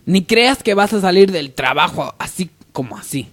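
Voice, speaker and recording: A man's voice: high-pitched, animated, moderate pace, a bit bright, voice pushed up. A man playing a domineering company boss in a parody radio soap, imperious and melodramatic. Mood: angry, scolding. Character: stern, domineering male coffee company boss.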